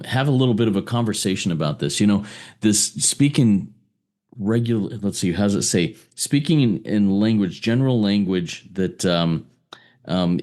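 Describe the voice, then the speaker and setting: A man's voice: fairly low pitch, a little mellow. A man moderating a recovery roundtable, thanking people and searching for his words as he talks. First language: English. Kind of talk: casual discussion leading.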